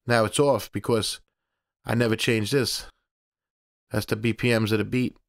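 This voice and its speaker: A man presenting a casual step-by-step software tutorial in a plainspoken, informal, instructional way. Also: on the low side, level tone, moderate pace, vocal fry, over music.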